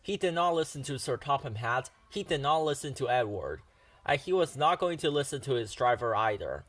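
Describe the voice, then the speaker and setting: A man narrating a children's storybook in an expressive read-aloud style. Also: medium pitch, brisk, no echo. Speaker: storybook reader.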